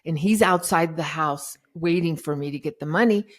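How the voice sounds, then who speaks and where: A middle-aged woman, a life coach guest on a podcast, telling her story in a lively, dramatic way. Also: sits low, moderate pace. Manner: animated, dramatic retelling with acted-out quotes. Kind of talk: casual interview storytelling.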